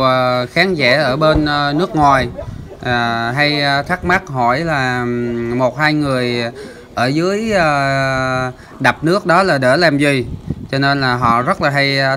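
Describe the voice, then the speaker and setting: A man's voice: mid-range voice, a little slow, noisy background. A man hosting a fishing channel, explaining the footage in a chatty voice-over with lots of filler sounds. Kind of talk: casual voice-over commentary.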